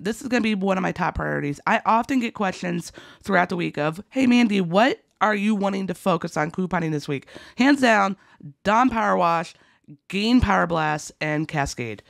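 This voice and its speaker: A woman with a rough, gravelly voice hosting a couponing video, chatting excitedly and casually in a folksy way through deals in voice-over. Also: medium pitch, dry recording, studio quality. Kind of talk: enthusiastic casual deal rundown voice-over.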